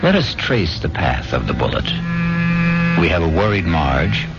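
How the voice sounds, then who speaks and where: A man narrating a 1970s radio mystery drama as its host, measured, theatrical and portentous. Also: deep voice, sing-song. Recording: lo-fi vintage radio broadcast. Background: loud dramatic music.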